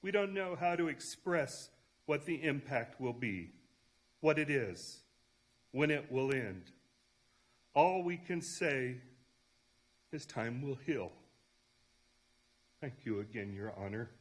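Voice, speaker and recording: A man reading the part of a grieving relative who addresses a judge with solemn, deliberate emphasis. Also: mid-range voice, animated. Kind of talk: staged reading of a prepared statement.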